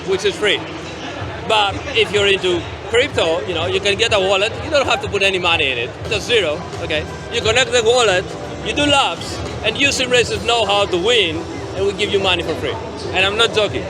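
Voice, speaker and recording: A male game developer at a convention booth, answering casually, animated and emphatic, repeating himself, tired from a long day. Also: sits high, brisk, music in the background. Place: busy gaming convention floor. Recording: crowd and noise around.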